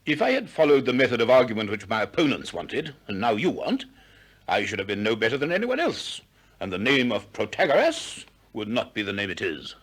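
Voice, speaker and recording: An actor with a British accent playing a proud, pompous, easily ruffled Greek sophist in a 1960s radio play, declaiming testily. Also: male voice, mid-range voice, wildly swooping pitch, brisk, a bit bright.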